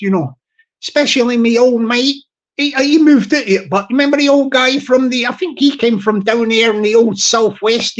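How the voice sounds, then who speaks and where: A man's voice: extremely high pitch, highs cut off. A hearty man rambles through a casual live stream, greeting viewers as they come into the chat. He keeps tripping over his words and doubling back on them. Little chuckles break into his talk between phrases. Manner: hearty, upbeat.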